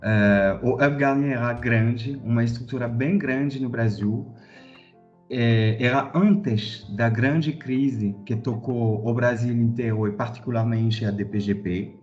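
A man's voice: mid-range voice, steady tone, slow pace, a little mellow, lo-fi sound, faint music behind. A man in his thirties from France with a French accent, a guest on a podcast telling his career story hesitantly, with many fillers and restarts. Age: 30s. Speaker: guest, corporate director.

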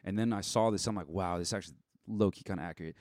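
Blunt young man, low voice, chatting candidly. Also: rapid-fire, a little mellow, no echo, clean studio sound. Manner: blunt, candid, swears casually. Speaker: podcast guest. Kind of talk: casual personal chat.

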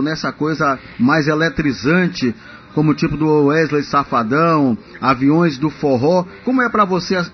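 A man co-hosting a radio show, asking a courteous, long-winded question. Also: a little high. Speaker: radio co-host. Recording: dull radio broadcast.

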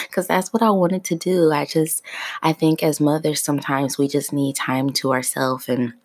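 A woman in her thirties hosting her own podcast in a relaxed, free-flowing chat, her voice still sleepy in the morning. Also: deep voice, unhurried, no room sound. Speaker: podcast host. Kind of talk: casual solo podcast chat.

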